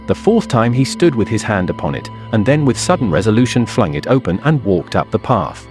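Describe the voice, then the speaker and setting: A man's voice: medium pitch, darkish tone. A man narrating a scripted suspense story in a literary style.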